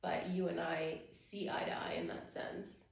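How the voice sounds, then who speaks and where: A woman's voice: lowish voice, steady tone, unhurried, creaky. A woman chatting casually with her partner as co-host of a couple's channel, with a giggly manner. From Romanian background. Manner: giggly, laughs often while talking. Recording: very echoey room.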